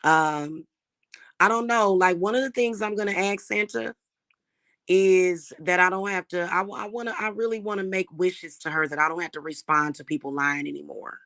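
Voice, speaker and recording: Slightly hoarse woman chatting to viewers. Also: lowish voice, normal speed, fairly bright tone. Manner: chatty, defiant, addresses viewers as y'all. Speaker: spiritual reader and channel host. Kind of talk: casual talk to viewers.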